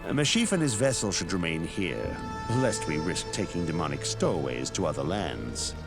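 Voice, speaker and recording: An actor playing a grave, learned old sage who recounts lore in a solemn, measured way in a fantasy game. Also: male voice, low voice, sing-song, gravelly, full character voice. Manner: solemn, measured, formal storytelling. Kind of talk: scripted fantasy game dialogue. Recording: loud music behind the voice.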